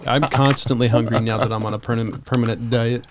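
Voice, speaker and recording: A man chatting casually as a podcast co-host in a wry, halting way. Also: medium pitch, little pitch movement, noisy background.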